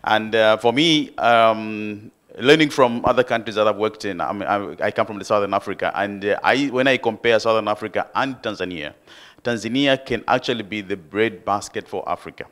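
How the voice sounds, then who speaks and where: A man's voice: lowish voice, moderate pace, fairly bright tone. A man from Zambia asking an earnest question from the floor at a conference, with many ums. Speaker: audience member asking a question. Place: conference hall.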